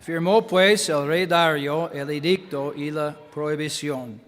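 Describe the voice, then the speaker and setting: A man with an American accent, a native English speaker, preaching in a church in halting Spanish with English fillers and self-deprecating humor. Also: on the high side, fairly even intonation, a bit bright, in a large hall, faint music behind. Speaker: guest pastor. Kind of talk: sermon with Bible reading. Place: church sanctuary.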